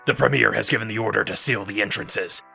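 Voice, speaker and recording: A gruff older war hero, commanding and defiant, in an audio drama. The voice is low and gravelly with a hard, forceful edge. Music plays underneath. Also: male voice, fast talker, exaggerated voice. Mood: resolute, rousing. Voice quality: gravelly, rough. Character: gruff, defiant heroic older military commander, part synthetic.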